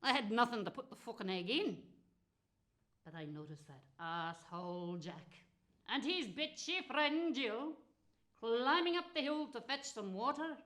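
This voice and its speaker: Woman playing a high, bright, spiteful fairy tale witch. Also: animated, unhurried. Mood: gleeful, mischievous. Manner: theatrical, cackling, sweary. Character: spiteful, gleeful, foul-mouthed fairy tale witch telling her tales. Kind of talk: comic storytelling monologue.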